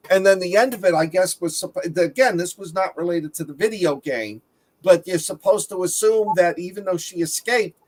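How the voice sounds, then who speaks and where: A man chatting casually and explaining things as a panelist in a film discussion. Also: high-pitched. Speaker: podcast panelist discussing films.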